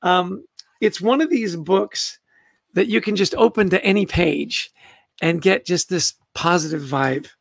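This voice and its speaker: Older man, gravelly, hosting a book chat. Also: sits high, measured pace, dry recording. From Canada. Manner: enthusiastic, greets viewers by name. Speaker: book club host. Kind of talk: casual livestream book chat.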